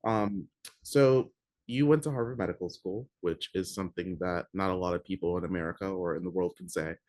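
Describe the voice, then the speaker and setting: Young male host, student podcast; friendly, eager interviewer; lowish voice, dry recording. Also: fairly quick, darkish tone, no room sound. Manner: friendly, eager, casual phrasing. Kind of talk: interviewer asking questions.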